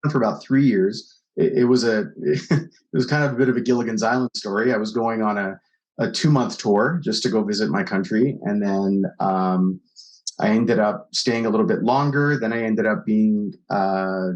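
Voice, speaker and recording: A man's voice: on the low side, moderate pace, poor audio. A man in his forties from Canada, a podcast guest telling stories in a loose, rambling way with lots of fillers. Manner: rambling storyteller, leans on fillers. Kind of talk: casual conversation.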